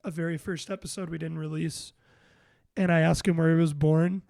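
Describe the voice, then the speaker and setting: A young man with a raspy, hoarse voice hosting a casual podcast, rambling and joking through quick restarts. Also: fairly high pitch, level tone, a little mellow, no room sound, studio quality. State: hoarse, voice worn out. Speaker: podcast host.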